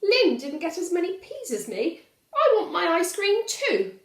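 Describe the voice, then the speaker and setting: A woman's voice: very high voice, huge pitch swings, unhurried, roomy sound, pitched above the performer's own voice, exaggerated voice. A woman voicing a sulky, indignant young boy in a storybook, whining and growling his complaints. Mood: indignant shouting.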